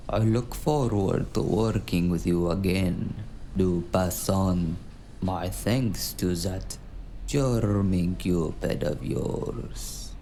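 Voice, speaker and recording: Calculating gentleman client played by a man: creaky, mellow, unhurried, formal speech, audio drama with some background noise. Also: low-pitched, heavily acted. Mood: coolly polite. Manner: formal, measured, courtly. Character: formal, smooth-mannered, calculating male business client.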